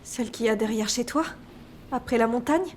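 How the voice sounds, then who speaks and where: Woman doing tomboy teen girl for a game, serious, highish voice, faint noise. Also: fairly quick, a little mellow, close to an everyday voice. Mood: earnest. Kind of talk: French game dub acting.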